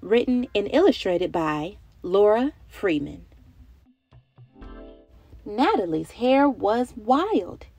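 A young adult woman narrating a picture book for small children in an expressive, dramatic way with emphatic, drawn-out words. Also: mid-range voice. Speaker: children's read-aloud storyteller. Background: music bed.

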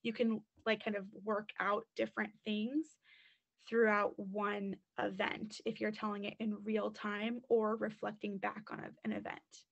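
A woman's voice: medium pitch, level tone, a little slow, creaky, muffled recording. A woman college admissions counselor answering questions on a webinar through a computer mic, chatty and full of fillers.

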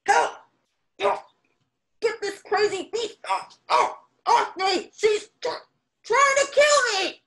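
A terrified female trainee in a radio drama. Her voice is extremely high, shrill and frantic. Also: takes it slowly, pauses often, a bit bright, full character voice. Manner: shrieking, frantic. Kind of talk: radio drama acting over video call.

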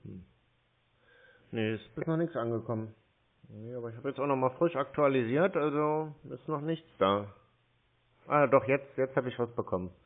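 A man posing as a call target on a long phone call, talking slowly and hesitantly, stalling with hums, fillers and small complaints. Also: medium pitch. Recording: tinny phone line.

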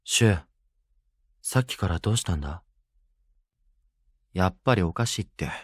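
A man's voice: deep voice, expressive, moderate pace, husky, no echo, clean studio sound. A man voicing a sceptical, wry young delinquent in an audio drama. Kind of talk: Japanese boys' audio drama.

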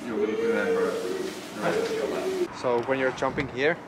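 A man coaching a beginner in ski jumping, speaking calmly and plainly with hesitant fillers. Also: medium pitch, normal speed. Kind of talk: casual explaining. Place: changing room and outdoors on a ski hill. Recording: roomy, distant, very noisy.

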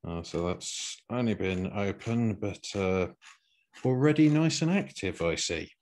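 A man chairing a work meeting on a video call, speaking with hesitant pauses. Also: lowish voice, animated, a little mellow. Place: small home room, video call.

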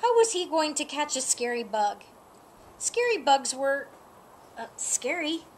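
Slow, lively woman reading aloud. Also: sits high, a little hiss or hum. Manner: slow, lively, lifts her voice for animal lines. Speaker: storyteller. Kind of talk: reading a picture book aloud. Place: outdoors in a sunny yard. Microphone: camera a few feet away.

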